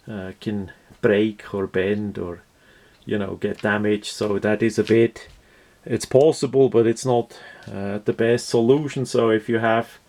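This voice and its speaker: Male product reviewer, unhurried and methodical, unscripted hands-on delivery with halting phrasing. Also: fairly low pitch, darkish tone.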